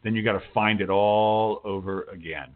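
A man's voice: fairly low pitch, steady tone, moderate pace. A man hosting a talk show in a casual, informal, encouraging way, often repeating phrases for emphasis. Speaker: talk show host, writing teacher. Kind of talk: casual interview chat. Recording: thin phone-like radio call audio.

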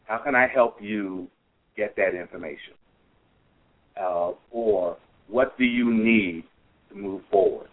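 A man guesting on a radio advice show, explaining practical tips in a calm way. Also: lowish voice, slow pace, long pauses. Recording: phone-like narrow band.

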